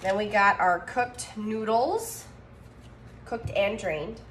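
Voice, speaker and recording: A woman in her thirties co-hosting a casual home cooking demo, giving clear step-by-step instructions. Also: mid-range voice, slow pace, a bit bright, a little hiss or hum. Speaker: cooking show co-host. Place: home kitchen, indoors. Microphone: phone camera at counter distance.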